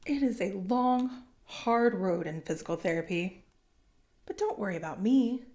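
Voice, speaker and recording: A woman's voice: medium pitch, expressive, a little slow, darkish tone, lightly acted. An actress playing an anxious, earnest woman recovering in physical therapy. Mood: worried.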